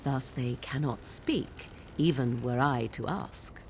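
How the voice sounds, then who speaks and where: A woman voicing a proud, aloof young witch of the wilds in a fantasy game, speaking in archaic, measured, formal phrases. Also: very deep voice, slight background noise, exaggerated voice. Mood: guarded, musing. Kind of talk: fantasy video game dialogue.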